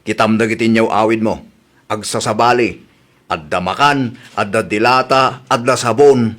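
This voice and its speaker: A man's voice: on the low side, normal speed, fairly bright tone, close to an everyday voice. A man acting a nosy, teasing husband with a questioning, needling manner, in an audio comedy drama.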